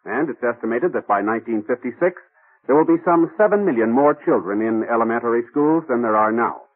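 A man's voice: mid-range voice, lively intonation, brisk. Tinny vintage American male radio announcer. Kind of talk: formal 1950s radio announcing. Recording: tinny old radio broadcast, faint music.

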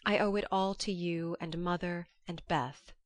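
A woman's voice: lowish voice, steady tone, unhurried, no echo, lightly acted. A woman voicing an earnest, self-doubting young woman in an audiobook, with an emphatic, plainspoken delivery. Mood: humbly moved. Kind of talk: audiobook character reading.

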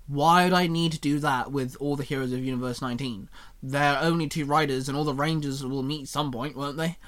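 A man's voice: mid-range voice, fairly even intonation, brisk, fairly bright tone, close to an everyday voice. A man voicing a grumpy sarcastic tinkerer. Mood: grumbling. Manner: sarcastic, grumbling through teeth.

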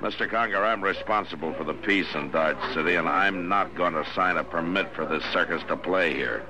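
Radio western lead: actor as stern American lawman, deep voice, level-headed and terse, audible vintage noise. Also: a little fast, natural voice. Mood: firm, stern. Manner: firm, terse, authoritative. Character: stern, level-headed frontier town marshal. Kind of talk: 1950s radio western acting. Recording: noisy old radio broadcast recording.

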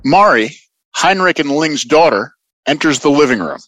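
A man's voice: on the high side, lively intonation, normal speed, a bit bright. A man serving as announcer, reading scripted stage directions for an audio play.

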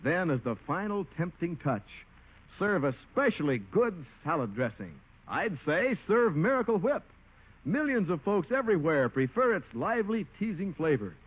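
High, lively American radio announcer. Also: male voice, moderate pace, husky. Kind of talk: scripted 1950s radio announcing and sponsor pitch. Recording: dull old radio recording, music behind.

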